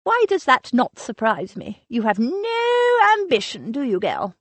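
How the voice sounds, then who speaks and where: A woman's voice: a little high, huge pitch swings, a little slow, no echo, pitched above the performer's own voice, exaggerated voice. A woman voicing an imperious, scornful old aristocratic aunt in a storybook reading, speaking in a disdainful, commanding way.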